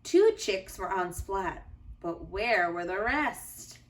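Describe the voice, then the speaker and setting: A woman teacher reading a children's storybook aloud with deliberate, expressive phrasing for young listeners. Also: medium pitch, distant mic.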